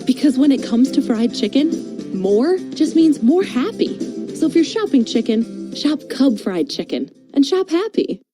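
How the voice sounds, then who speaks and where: Lady doing upbeat ad read, high voice, music playing behind. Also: sing-song, a little slow. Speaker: commercial voice-over. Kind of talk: scripted upbeat grocery ad read.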